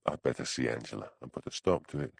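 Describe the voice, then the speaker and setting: A man playing a dry, stubborn village policeman with a Scottish Highland accent, in an audiobook. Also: quick pace, creaky, dry recording, full character voice. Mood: resigned. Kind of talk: audiobook character dialogue.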